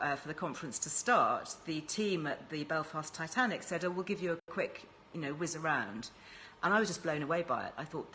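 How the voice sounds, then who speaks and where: A woman's voice: low-pitched, a bit bright, slight background noise, rough recording. A woman hosting a casual live-stream chat in an upbeat, encouraging way. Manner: chatty, enthusiastic, encouraging. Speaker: host.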